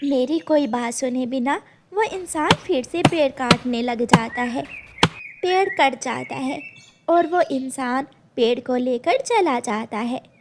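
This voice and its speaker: A woman's voice: sits high, lively intonation, slow pace, heavy background noise. A woman reading scripted children's story narration.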